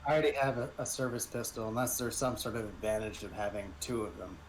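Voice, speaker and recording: A man's voice: on the low side, fairly even intonation, fast, low-quality recording. A man playing a tabletop game and chatting casually with the group. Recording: clear background noise.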